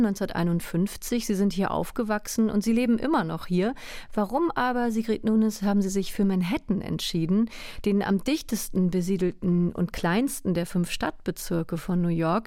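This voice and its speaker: A woman's voice: medium pitch, dark tone, dry recording, clean studio sound. A woman hosting a radio podcast, reading scripted introductions and posing interview questions.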